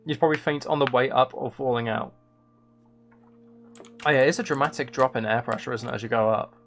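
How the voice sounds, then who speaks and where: A man's voice: medium pitch, fairly even intonation, fairly quick, faint music behind. A man chatting casually on a live game stream, musing and thinking aloud as he plays. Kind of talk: casual live stream chat while playing.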